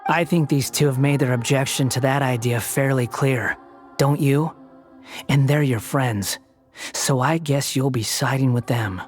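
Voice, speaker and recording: A man playing a cunning former villain, a puppet who speaks in a cool, detached drawl. He sounds cynical and sardonic, never warm. Faint game music hums underneath. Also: mid-range voice, unhurried, natural voice.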